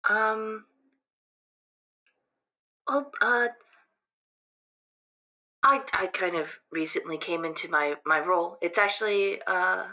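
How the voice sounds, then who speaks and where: A woman's voice: fairly low pitch, slow pace, long pauses, bright, forceful tone, full character voice. A woman playing a nervous fifteen-year-old calling a radio show, hesitant and apologetic, on a slightly muffled line. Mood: nervous, shy. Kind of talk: improvised audio drama.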